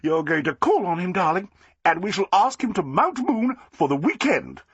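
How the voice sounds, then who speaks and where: A male reader voicing a shrill, gushing society wife. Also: big pitch swings, moderate pace, fairly bright tone, breathy edge, no room sound, dull audio, heavily acted.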